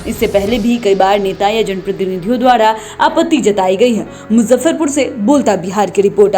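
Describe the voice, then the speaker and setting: A woman's voice: fairly high pitch, darkish tone. A woman narrating a scripted news report as the reporter. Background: background music.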